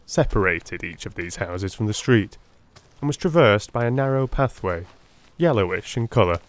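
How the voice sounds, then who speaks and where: A man narrating an audiobook in a dramatic, measured, literary style. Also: fairly low pitch.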